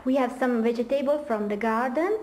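Middle-aged woman, mellow higher voice, plain English, faint room hum. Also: roomy sound. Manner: simple English with pauses, listing dishes. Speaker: farm cook. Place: indoors at a farmhouse kitchen table.

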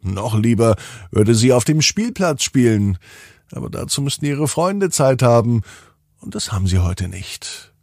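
Male children's storyteller: slow, playful bedtime narration, husky tone, very dry sound, dramatic pauses. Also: medium pitch, sing-song, no echo. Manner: deliberate, playful, with drawn-out words and dramatic pauses.

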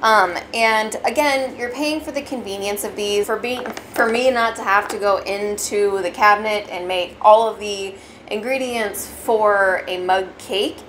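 A woman's voice: mid-range voice, measured pace, a bit bright, a little hiss or hum. Chatty young woman reviewing food. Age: young adult. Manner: chatty, explains step by step. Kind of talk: casual product review. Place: dining room at home, indoors.

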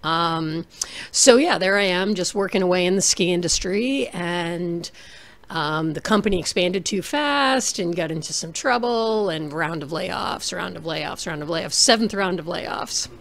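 Lower-voiced woman telling her story. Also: measured pace. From New England. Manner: animated, reflective, rises for emphasis. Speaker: interview guest, documentary director. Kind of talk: casual interview storytelling. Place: small home room. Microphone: desk microphone on video call.

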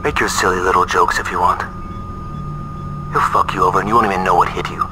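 A man's voice: sits low, fairly even intonation, quick pace, over music, natural voice. A man playing a condescending, coldly menacing colonel, a sardonic villain in a video game. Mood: smug, menacing.

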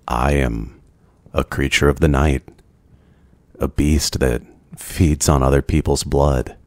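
A man's voice: a bass voice, no echo, heavily acted. A man playing a lonely, courteous immortal vampire in an intimate ASMR roleplay, speaking formally and hesitantly. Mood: brooding, intrigued.

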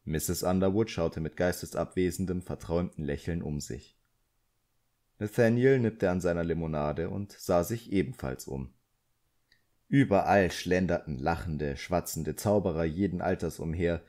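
A male audiobook narrator with a low voice reads literary fiction. His delivery is scripted storytelling, following the prose of a fantasy novel. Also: normal speed, dark tone.

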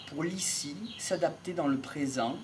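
Middle-aged man, reflective amateur lecturer, unhurried halting delivery, outdoor recording with some background noise and birds. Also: a little high. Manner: measured, halting, pausing between short phrases. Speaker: amateur lecturer on psychology and philosophy. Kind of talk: improvised reflective monologue. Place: outdoors in an open field by trees. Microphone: small wired mic held near the mouth.

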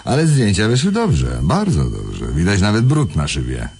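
Robbery suspect, radio play, deep, very varied pitch, insolent, bored, mock-innocent. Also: male voice, huge pitch swings, normal speed, gravelly, darkish tone, husky, heavily acted. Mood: nonchalant. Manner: nonchalant, feigning innocence.

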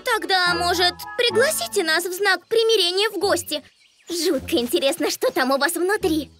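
A woman's voice: very high voice, moderate pace. A woman voicing a cheeky young female porcupine trickster in a children's cartoon, showy and coaxing. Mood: coaxing, curious. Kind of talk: animated children's cartoon acting. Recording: music behind the voice.

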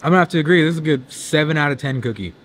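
A man's voice: on the high side, racing, fairly bright tone, a little hiss or hum. A young man casually vlogging at a convention, upbeat and chatty, rating a cookie aloud. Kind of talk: casual vlog chat. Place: busy convention hotel hall, indoors. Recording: crowd chatter behind. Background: crowd chatter.

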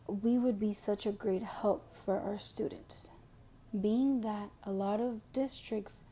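A young woman with a Mexican background reading a prepared self-introduction as an education student, slowly and carefully. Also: mid-range voice, vocal fry, mellow, slight background noise. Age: young adult. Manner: slow, careful reading, switching to Spanish for a passage.

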